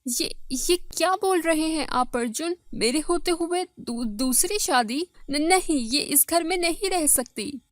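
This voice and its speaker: A woman's voice: high-pitched, animated, a little slow, hardly any pauses, no room sound, studio quality, voice pushed up. A woman voicing a jealous, wounded first wife in an emotional, protesting way for a dramatized story. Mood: indignant.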